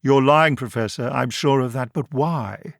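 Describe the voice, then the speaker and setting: A man voicing a tall, solemn doctor, a probing sci-fi audiobook hero who speaks with deliberate, accusing emphasis. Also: medium pitch, vocal fry, no echo, clean studio sound.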